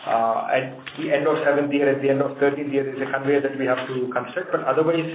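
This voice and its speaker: An Indian-accented man gives an executive's answers on a business call. His mid-pitched voice comes through a thin phone line with strong echo and clear background noise. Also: fairly even intonation, fast talker. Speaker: company executive on an earnings call. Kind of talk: answering analyst questions on a conference call. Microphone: conference phone line. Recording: tinny phone line, echoey room, noisy.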